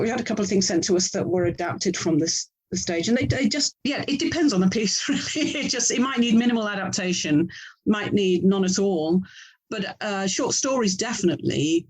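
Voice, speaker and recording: A woman's voice: lowish voice, a little mellow, husky, poor audio. Thoughtful woman in conversation. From England. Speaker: interview guest, author and audio drama producer. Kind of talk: conversational interview.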